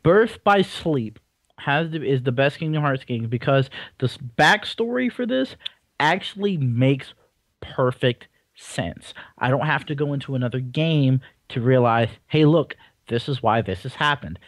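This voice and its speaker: A man's voice: medium pitch, animated, unhurried, no echo. A young man co-hosting a casual video game podcast, blunt and opinionated and prone to rambling. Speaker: podcast co-host. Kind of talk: casual chat about video games.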